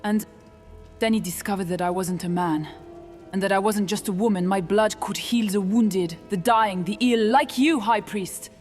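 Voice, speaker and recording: Young female witch, played by an actress, mid-low pitched, gentle and sorrowful, measured halting delivery over music in a fantasy audio drama. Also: close to an everyday voice. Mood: sorrowful confession.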